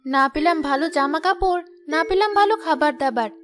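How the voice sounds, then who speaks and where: An actress playing a young village wife in a cartoon, complaining and wistful, longing for riches. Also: female voice, high-pitched, fairly quick, a bit bright, soft background music. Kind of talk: cartoon dialogue.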